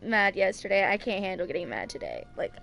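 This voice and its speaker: A woman's voice: medium pitch, sing-song, brisk, vocal fry, a bit bright, a little hiss or hum. A woman in her twenties chatting casually as a streamer, rambling informally and repeating phrases for emphasis. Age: 20s. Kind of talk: casual livestream chat.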